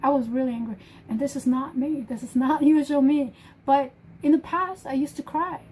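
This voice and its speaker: A woman's voice: high-pitched, steady tone, normal speed, warm tone, poor audio. A woman with a German accent and German as her first language, hosting a casual live stream, talking emphatically and often restarting her phrases. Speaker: livestream host.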